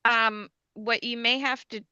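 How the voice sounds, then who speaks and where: Bright, crisp-edged female, casual call chat, little echo. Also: fairly high pitch, fairly even intonation, a little slow, highs cut off. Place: dry-sounding room, on a video call.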